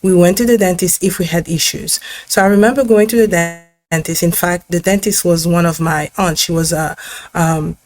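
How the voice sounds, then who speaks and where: A middle-aged woman from the Democratic Republic of Congo, a dentist telling her own story in a thoughtful, halting way with many fillers and restarts. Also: fairly low pitch, fairly even intonation, darkish tone. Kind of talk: personal story to camera.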